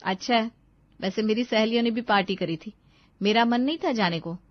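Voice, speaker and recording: A woman's voice: a little high, a little fast, dry recording, dull audio, natural voice. A woman playing a caring, thrifty middle-aged mother in a cartoon moral story, reasoning and scolding in a homely way.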